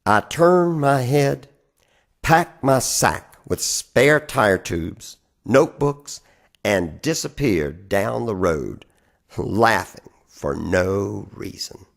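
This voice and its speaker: A man performs spoken-word poetry at an unhurried pace. His pitch moves widely from line to line, and he shapes each phrase deliberately, with theatrical emphasis. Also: expressive, gravelly. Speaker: poetry reader.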